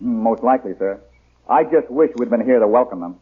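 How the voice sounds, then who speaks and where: An actor playing a wry, easygoing young gentleman adventurer in a 1930s-style radio drama. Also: medium pitch, lively intonation, brisk, husky. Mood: good-natured. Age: young adult. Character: lanky young gentleman adventurer, wry, hearty, good-natured. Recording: muffled old radio broadcast recording.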